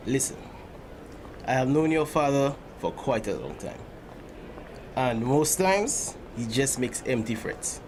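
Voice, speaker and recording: A man acts out a kindly priest in a drama, with a mid-pitched voice. He talks in a gentle, fatherly, reassuring manner. Clear background ambience runs through the location recording. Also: takes it slowly, long pauses. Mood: friendly. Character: kindly, older-generation priest gently counselling a parishioner. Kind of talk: dramatic acted dialogue. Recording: noisy location sound with steady ambience.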